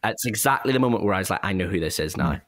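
A man's voice: low voice, expressive, very fast, a bit bright. A man chatting casually and reflectively about acting in and directing an audio drama. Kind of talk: casual behind-the-scenes chat.

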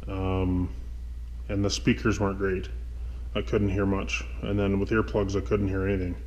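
A man with a fairly low voice talks very slowly, hesitating and thinking aloud. He sounds like a vlogger casually showing gear in a garage workshop, with no script and plenty of rambling. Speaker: motovlogger host. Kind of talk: casual unscripted gear walkthrough.

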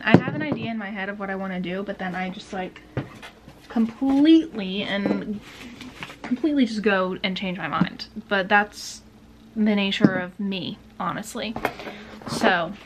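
A woman in her twenties chatting casually to camera in a small bedroom, indecisive and drifting into soft whispers. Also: mid-range voice, very noisy. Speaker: beauty vlogger. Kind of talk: casual chat.

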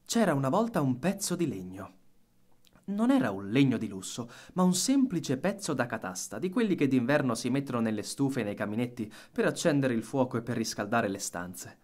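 A man reading a children's story aloud as an expressive audiobook narrator. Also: medium pitch, fairly quick.

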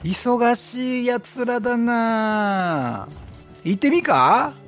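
A chatty man commenting casually on a video game. His voice is high and swings widely in pitch as he teases and reacts, with light game music behind him. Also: animated, extremely slow, a bit bright. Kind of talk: casual let's play commentary.

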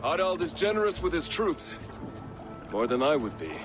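A man's voice: fairly high pitch, very animated, normal speed, music in the background. An actor playing a blunt, grudging Viking crewman in a video game drama. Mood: gruff.

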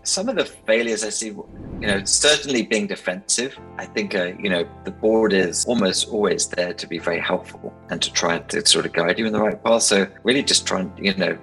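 A man's voice: low voice, moderate pace, gravelly, a bit bright, poor audio. A man in his forties, an investor giving informal advice to camera on a video call from a small room. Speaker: startup investor and board member. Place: small attic room indoors. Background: background music.

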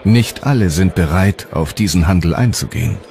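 A man's voice: deep voice, lively intonation, normal speed, a little mellow. A man reading scripted, dramatic narration as the narrator of a historical documentary. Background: music.

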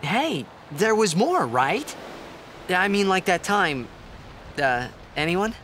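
A man's voice: high voice, very animated, slow pace, pushed and bright, slight background noise, exaggerated voice. A man voicing a restless, impatient young hero in a video game cutscene, talking in a casual, questioning way. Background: game music.

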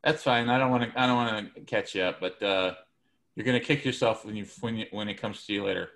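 A man teaching an online class, calling names in a relaxed, hesitant way. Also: lowish voice, quick pace. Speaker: instructor. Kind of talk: casual roll call on a video class. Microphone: video call.

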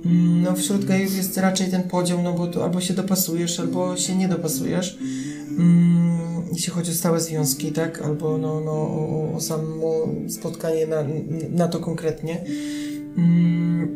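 A man's voice: on the high side, monotone, measured pace, music in the background. A man chatting casually on a podcast as the invited expert, ironic and joking as he talks.

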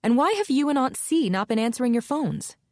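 A woman's voice: expressive, fairly quick, darkish tone, no echo, studio quality. A woman voicing a frightened mother in an audiobook, talking shakily and pleadingly. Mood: anxious. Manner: shaky and pleading, higher than the narration. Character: frightened young mother, an investigator.

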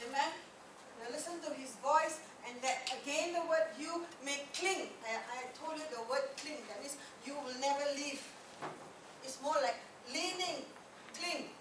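Middle-aged woman preaching, high-ish voice, keeps asking amen?, far off in an echoey church room. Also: background noise. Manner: call-and-response, repeats key words, asks the room "Amen?". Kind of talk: sermon. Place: church meeting room with a projector screen. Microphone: distant camera mic.

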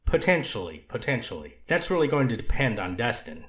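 A man reads a treatment counselor testifying in court, hedging his answers with fillers and restarts. His voice is mid-pitched and even. An echoey room surrounds it. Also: fairly quick. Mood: careful. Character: male treatment counselor witness, hedging and careful. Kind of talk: readers theater courtroom reading.

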